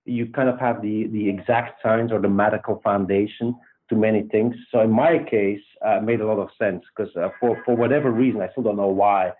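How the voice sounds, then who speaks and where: A man's voice: on the low side, fairly even intonation, fairly quick. A man with a Belgian accent, a startup founder talking casually as a guest, hesitant with many fillers and restarts. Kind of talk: casual interview. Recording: tinny phone-like line.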